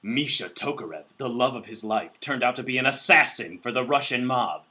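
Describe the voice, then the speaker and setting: A man's voice: animated, moderate pace, pushed and bright. A man co-hosting a casual book podcast, joking and bantering with friends. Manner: joking, bantering, bursts into high-pitched laughing protests. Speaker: podcast co-host. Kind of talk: casual chat.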